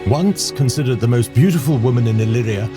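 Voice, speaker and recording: A man narrating an audio drama in a measured, theatrical storytelling manner. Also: mid-range voice, music in the background.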